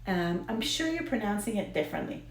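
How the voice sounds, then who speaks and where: A woman with an American Israeli accent hosting a video, telling a story in a casual, educational way with halting, emphatic repetition. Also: mid-range voice, big pitch swings, creaky. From Israel and the United States. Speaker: video host. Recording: roomy, echoing indoor sound.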